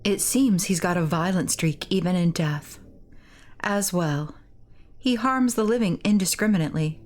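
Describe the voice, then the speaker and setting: A woman voicing a composed, solemn young female advisor, speaking formally and with measured care as she reads game dialogue. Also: on the low side, darkish tone, a little hiss or hum, close to an everyday voice. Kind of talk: dramatic read-aloud of game dialogue.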